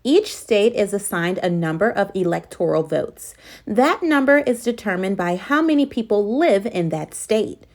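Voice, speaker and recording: A woman's voice: medium pitch, animated, moderate pace, natural voice. A woman voicing an encouraging, instructive female schoolteacher in a storybook, addressing her young class. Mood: announcing, explaining.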